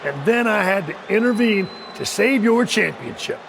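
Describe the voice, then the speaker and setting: A gravelly, controlling faction boss. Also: male voice, high voice, expressive, noisy background. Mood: menacing. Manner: slow, measured, condescending. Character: domineering male wrestling faction leader, cold and controlling. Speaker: wrestling faction leader.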